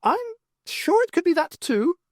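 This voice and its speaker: A narrator voicing an excitable, timid furry alien professor with an eager, chittering manner in an audiobook. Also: extremely high pitch, a little slow, no echo, clean studio sound, voice pushed up, full character voice. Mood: eager, awed.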